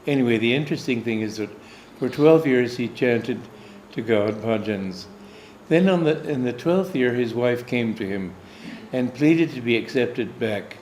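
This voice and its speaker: A man's voice: mid-range voice, unhurried, darkish tone, a little hiss or hum. An American man, a swami and spiritual teacher, recounting a personal anecdote in a meandering storytelling way. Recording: echoey room, faint ambience.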